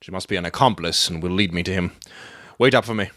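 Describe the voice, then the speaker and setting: A man playing a brisk, self-assured Victorian detective in a dramatic audiobook, telling things with confidence. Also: low-pitched, fairly bright tone, no room sound, clean studio sound. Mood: sharp, brisk.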